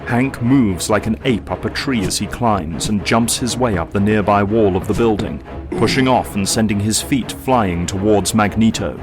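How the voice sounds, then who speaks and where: A man narrates an audio drama in a low register. He reads the action dramatically, with loud music playing under him. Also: normal speed.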